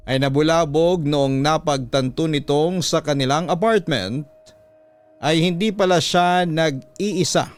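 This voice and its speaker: Smooth male radio storyteller, dry sound. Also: a little high, no room sound. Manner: measured, reading a letter in first person. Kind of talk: dramatic scripted radio narration. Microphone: close studio microphone.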